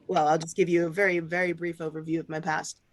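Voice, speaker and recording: A man from Barbados, speaking as an artist on a panel, relaxed and conversational with many fillers. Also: a little high, fast. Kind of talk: panel discussion.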